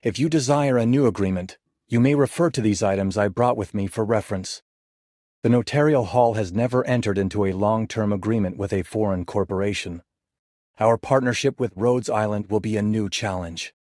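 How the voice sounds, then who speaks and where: Game role: actor as courteous male contractor, slightly low, businesslike, close dry sound. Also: fairly quick, a little mellow, dry recording, close to an everyday voice. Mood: formal, polite. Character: formal, businesslike male contractor, polite and reserved. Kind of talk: scripted game character voice line.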